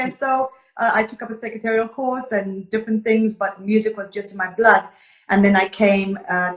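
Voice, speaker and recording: A woman, a guest singer telling her story earnestly in a casual live interview. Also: medium pitch. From Zimbabwe. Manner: warm, earnest storytelling, greets viewers between answers. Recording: echoey room.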